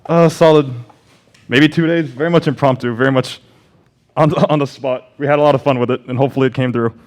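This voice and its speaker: A young man talking rapidly at a middle pitch in casual interview chat. He comes across as easygoing and jokey, tossing out answers as a student radio guest. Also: fairly even intonation. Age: young adult. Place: radio studio. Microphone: studio microphone.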